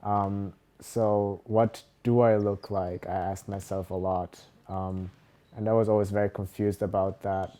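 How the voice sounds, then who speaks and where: Young man from Italy, low mellow voice, hesitant documentary interviewee. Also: level tone. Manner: hesitant, many ums and pauses.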